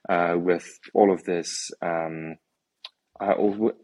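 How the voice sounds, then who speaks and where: A man with a South African accent whose first language is Afrikaans, talking casually about hunting and pausing often with ums and uhs. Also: low-pitched, fairly even intonation, a little mellow, no echo. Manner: hesitant, many ums and uhs. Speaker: guest hunter. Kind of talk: casual conversation.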